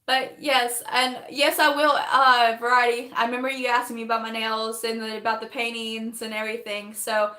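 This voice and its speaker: Girl rambling on a phone live, bright voice going hoarse, echoey little room. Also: on the high side, little pitch movement. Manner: chatty and fast, laughs often, thanks viewers by name. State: losing her voice. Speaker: livestreaming vlogger. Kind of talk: rambling live chat while doing makeup.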